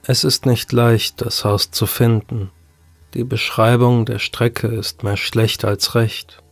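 A man's voice: mid-range voice, little pitch movement, slow pace, creaky, no room sound. A man reading a scripted horror story as an audiobook narrator. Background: music.

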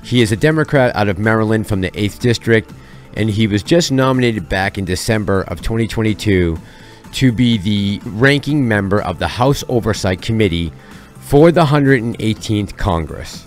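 A man's voice: lowish voice, expressive, normal speed, faint music behind. A man in his thirties hosting a stock-market commentary vlog, heated and sarcastic, speaking on a headset mic in a small home studio. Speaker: channel host. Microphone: headset with boom mic.

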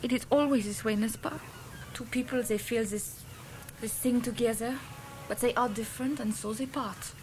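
An actress voicing a wistful blonde showgirl with a French accent, speaking soft, accented broken English in an early 1980s radio play. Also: a little high, steady tone, moderate pace, slight background noise, lightly acted, rough recording. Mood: sad, tender. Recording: radio play with loud orchestral music.

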